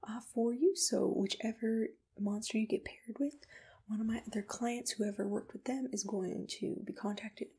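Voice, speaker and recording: A woman whispering softly and attentively in a soothing, reassuring ASMR roleplay. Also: fairly high pitch, fairly even intonation, normal speed. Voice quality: breathy whisper.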